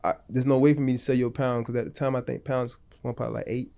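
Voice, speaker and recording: A man's voice: mid-range voice, little pitch movement, racing, creaky, warm tone. Laid-back man telling street stories. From North Florida. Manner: loose, slangy and rambling, with frequent restarts. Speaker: podcast guest. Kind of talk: casual storytelling interview.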